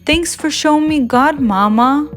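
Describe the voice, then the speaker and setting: A woman's voice: high-pitched, a little slow, soft background music, voice pushed up. A woman voicing a curious, innocent little bear cub with a childlike, questioning way of talking in a storybook. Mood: grateful.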